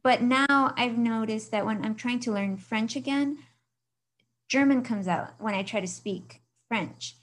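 A woman's voice: a little high, a little slow, poor audio. A woman from Mexico, a guest in a casual interview chat, who talks freely, laughs often and lets sentences trail off.

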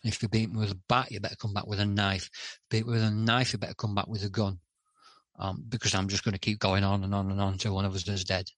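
Hesitant low-voiced man, dry sound. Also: flat delivery, fast talker, fairly bright tone, no echo. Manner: hesitant, many "um"s and restarts. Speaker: podcast guest. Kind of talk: candid interview talk.

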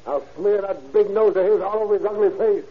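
Man acting tough hotheaded guy on old radio, blunt slangy threats, high voice, muffled n hissy. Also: fairly quick, lightly acted. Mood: angry, threatening. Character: tough, hot-tempered, threatening citizen cornering a crook.

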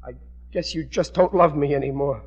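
A blustering working-class family man from an old radio comedy. His voice sits fairly high for a man, plaintive and put-upon in an ungrammatical everyman way, on a dull vintage broadcast recording. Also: fairly quick. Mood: sulky, pleading. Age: middle-aged. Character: aggrieved, blustering working-class husband and father, middle-aged. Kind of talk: 1940s radio sitcom acting.

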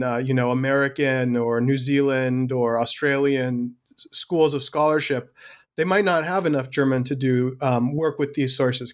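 Male interviewer framing a question. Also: mid-range voice. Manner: appreciative, smoothly framed questions.

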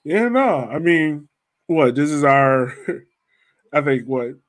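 A man's voice: a little high, lively intonation, slow. A man hosting a sports podcast, talking in an informal, loosely phrased and slangy way. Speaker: podcast host. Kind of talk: casual soccer podcast discussion.

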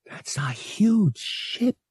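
A man voicing a frightened young woman aboard a starship in an audiobook, breathy and fearful. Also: high-pitched, sing-song, very slow, a little mellow, no room sound, clean studio sound, pitched above the performer's own voice, exaggerated voice.

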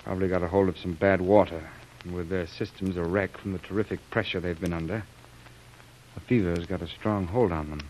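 Actor as a grave, low-voiced jungle doctor. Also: male voice, fairly even intonation, fairly quick, darkish tone, close to an everyday voice. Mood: concerned. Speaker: doctor character. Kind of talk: old-time radio drama acting. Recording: noisy old radio recording.

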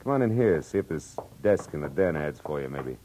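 An American actor playing a wry, tough private detective in a 1940s-style radio drama, with clipped delivery and first-person narration. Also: male voice, a bass voice, very animated, brisk, mellow, slight background noise. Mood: brisk, wry. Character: hard-boiled, wisecracking male private detective narrating his case. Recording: old radio drama with music.

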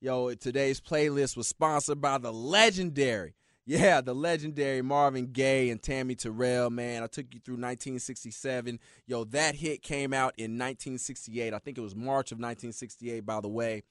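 A man's voice: medium pitch, moderate pace, a bit bright, no room sound, clean studio sound. A man hosting a motivational talk show, preaching in a hyped, repetitive way. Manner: preachy, hyped, repeats "yeah, yeah, yeah" and "yo". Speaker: motivational radio host.

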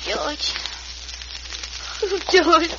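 A tender young heroine of a vintage radio western. Her high voice speaks slowly and with feeling. The recording is dull, with clear hiss. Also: expressive, exaggerated voice. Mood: distraught. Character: emotional, tender young frontier woman, distressed heroine. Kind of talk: old-time radio western acting.

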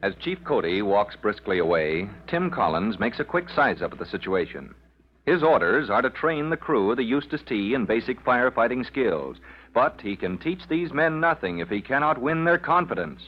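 A man's voice: medium pitch, big pitch swings, normal speed. A man narrating an old-time radio serial as its announcer, in a dramatic, formal scripted style. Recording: dull, noisy old radio recording.

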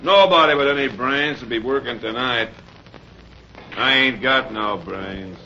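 Casting: folksy, easygoing bartender in vintage radio drama; bright voice, dull and faintly noisy recording. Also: male voice, medium pitch, measured pace. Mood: easygoing, wry. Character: breezy, slangy pool-room bartender, amiable. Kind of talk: old-time radio drama acting.

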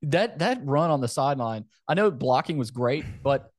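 A man with a medium-pitched voice hosts a casual football podcast. He talks loosely and conversationally, often doubling back on phrases. The sound is dry, with no room echo. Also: animated, normal speed. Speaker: sports podcast host.

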